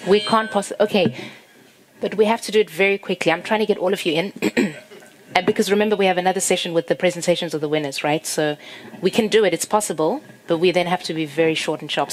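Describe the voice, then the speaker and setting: Brisk woman moderating a panel. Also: on the low side, poor audio. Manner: brisk, directive, hurrying people along. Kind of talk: moderating an audience Q&A. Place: conference stage.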